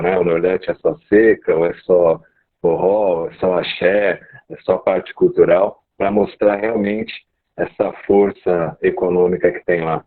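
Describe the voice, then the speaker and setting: A man hosting a live stream interview, laid-back and informal. His voice is very deep and husky. The audio sounds dull and lo-fi. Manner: relaxed, informal. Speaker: host.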